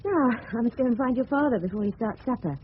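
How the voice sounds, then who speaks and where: An actress playing a polite, gently coaxing English woman in her twenties in a radio drama. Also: a little high, lively intonation, fast talker, close to an everyday voice. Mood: attentive, coaxing. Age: 20s. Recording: muffled noisy old radio recording.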